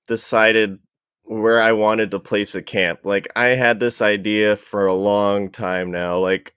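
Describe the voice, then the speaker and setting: Male gaming-stream guest, low and smooth, informal, relaxed chat in a dry space. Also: no room sound. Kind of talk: casual chat.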